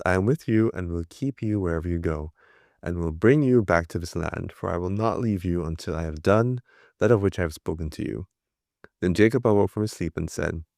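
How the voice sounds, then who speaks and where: A man reading the Bible aloud in a steady, plain way, with small slips and restarts. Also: sits low, vocal fry, dry recording, clean studio sound. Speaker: scripture reader.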